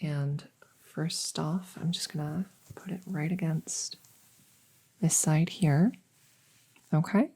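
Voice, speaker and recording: A woman playing a gentle, reassuring veterinarian in a soft-spoken ASMR roleplay, hesitant and soothing as she explains. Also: sits low, steady tone, slow, vocal fry, pauses often, slightly rough, full character voice. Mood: gentle, coaxing. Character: gentle, reassuring female veterinarian examining a werewolf patient. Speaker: audio roleplay performer.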